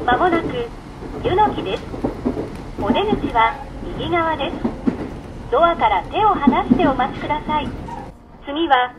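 A woman's voice: animated, unhurried, pauses often, highs cut off. A woman giving scripted, polite and formal onboard train announcements. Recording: very noisy recording inside moving train. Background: train rumble and noise.

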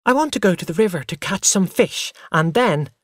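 A man voicing an eager, demanding small boy in a story, loud, insistent and pleading. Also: fairly high pitch, wildly swooping pitch, unhurried, no echo, studio quality, pitched above the performer's own voice, full character voice.

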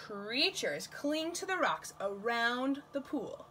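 High, expressive woman reading to children. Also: takes it slowly, a little hiss or hum. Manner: expressive, questioning, talks directly to children. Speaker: nursery school teacher reading aloud. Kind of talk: picture-book read-aloud to young children.

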